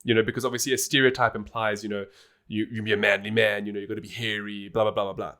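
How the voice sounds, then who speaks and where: A man's voice: lowish voice, flat delivery, fast talker, gravelly, fairly bright tone. Chatty man explaining on a podcast. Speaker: podcast host. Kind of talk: casual explanatory podcast talk.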